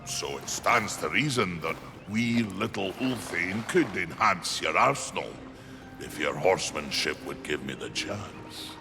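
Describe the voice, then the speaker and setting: Big gruff game blacksmith, wry, theatrical, deep voice, very unhurried, clear music underneath. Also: male voice, animated, heavily acted. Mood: wry, bargaining. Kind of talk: video game voice acting.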